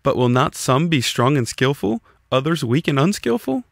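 A man's voice: mid-range voice, very animated, normal speed, gravelly, dry recording, studio quality. A man voicing a sceptical, combative communist who puts probing questions in a scripted audiobook dialogue. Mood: indignant, challenging. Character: sceptical, challenging communist debater.

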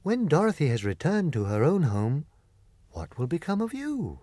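A man voicing a gracious, wise good witch who speaks in a measured, regal manner in a storybook reading. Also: a little high, huge pitch swings, mellow, no echo, studio quality, voice pushed up.

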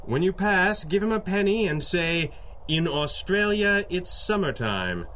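Urbane, animated hero boss. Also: male voice, high voice, measured pace, a little hiss or hum, heavily acted. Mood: commanding. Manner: polished, dry, authoritative. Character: urbane, commanding male masked hero boss. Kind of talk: 1930s radio drama acting.

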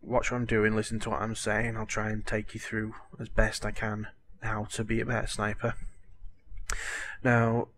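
A man's voice: lowish voice, completely flat delivery, moderate pace, a bit bright. A man with a cold and a cracking voice, rambling chattily with lots of fillers over game-tutorial footage. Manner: chatty, rambling, apologetic, lots of "you know". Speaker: gaming tutorial host.

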